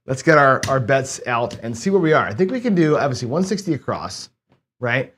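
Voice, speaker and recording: A man's voice: mid-range voice, big pitch swings, fairly quick, a bit bright. A man hosting a casual craps session, chatting to viewers with frequent restarts as he plays. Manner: chatty, self-talking, stammering restarts. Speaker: casino gaming host. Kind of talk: casual chat while playing craps.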